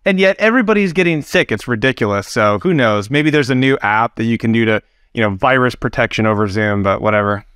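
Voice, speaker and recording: A man with a rough, slightly deepened voice from a recent cold speaks as a consultant on a business podcast. He talks frankly and tells stories with animation, at a middle pitch. The sound is dry and close, with no room echo. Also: lively intonation, fast talker, fairly bright tone. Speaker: consultant, co-host. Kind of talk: casual business podcast chat.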